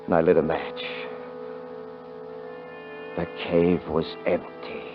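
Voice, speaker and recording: A brash, rough frontier hunter. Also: male voice, a bass voice, little pitch movement, slow, music in the background. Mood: grim narration. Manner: rough rural grammar, boastful. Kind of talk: 1950s radio drama acting and narration. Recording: muffled vintage radio recording.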